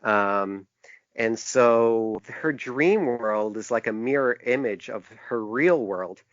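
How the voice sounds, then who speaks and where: A man with a mid-range voice talks casually as an interview guest. He is thoughtful and halting, restarting phrases as he works out his ideas. The sound is close and dry, slightly dull in fidelity. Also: mid-range voice, no room sound. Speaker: podcast guest, author and publisher.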